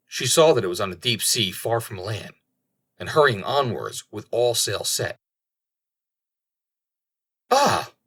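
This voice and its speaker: A man reading a fairy tale as an audiobook narrator, with deliberate, dramatic storytelling. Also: fairly low pitch, pushed and bright, husky.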